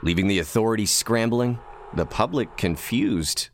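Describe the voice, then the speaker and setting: An actor playing a measured documentary narrator, delivering scripted narration. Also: male voice, lowish voice, a little hiss or hum, lightly acted.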